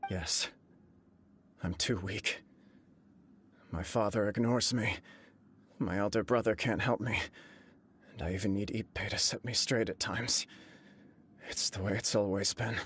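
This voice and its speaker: A man's voice: lowish voice, steady tone, unhurried, pauses often, a bit bright, breathy edge, heavily acted. An actor voicing a remorseful but resolute young male clan heir in a game cutscene, earnest and self-reproaching.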